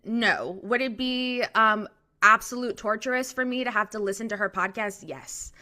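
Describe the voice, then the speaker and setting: A woman's voice: on the high side, moderate pace, pushed and bright. A young woman co-hosting a casual podcast, giving opinionated, chatty reality TV commentary. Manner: chatty, opinionated, restarts and fillers. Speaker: podcast co-host. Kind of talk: casual reality TV gossip chat.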